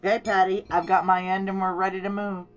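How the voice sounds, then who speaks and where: A woman's voice: mid-range voice, level tone, brisk, lo-fi sound, faint music behind, natural voice. Actress as a terse, guarded female security chief. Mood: focused, businesslike. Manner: terse, wry, confiding. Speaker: lead character and narrator. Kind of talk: noir audio drama acting and narration.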